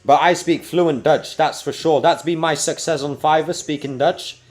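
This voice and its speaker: Belgian guy w mixed uk/nz/american accent streaming, chatty n upbeat, kinda tired, slightly high voice, faint music behind. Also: fairly even intonation, normal speed, a little mellow. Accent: mixed UK, New Zealand and American. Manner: chatty, upbeat, talks to chat while working. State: tired after a night awake. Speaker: livestream host. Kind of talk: casual livestream chat to viewers. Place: home desk setup.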